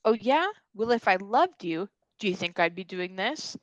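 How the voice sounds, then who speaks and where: A woman's voice: medium pitch, expressive, normal speed, no room sound, highs cut off, lightly acted. A woman reading the part of a ruthless, mocking, profane secret-agent traitor in a comedy table read. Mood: cold, mocking.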